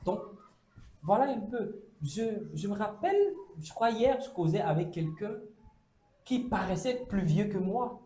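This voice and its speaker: A high-pitched man talks through an idea on a campus talk show. He keeps the tone mellow and stops often on fillers. The room around him rings with echo. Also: expressive, unhurried. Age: 30s. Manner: hesitant, many fillers, acts out quoted speech. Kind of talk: casual debate on a campus show. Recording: echoey room.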